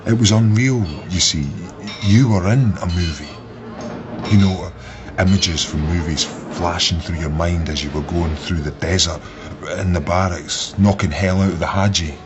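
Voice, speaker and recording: An actor in a radio drama playing an intense, wry young ex-army officer with a Glasgow accent. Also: male voice, low voice, moderate pace, over music, close to an everyday voice. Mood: intense, sardonic. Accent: Glasgow Scottish. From Glasgow, Scotland. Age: 30s. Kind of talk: naturalistic radio drama acting. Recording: muffled sound, busy crowd behind.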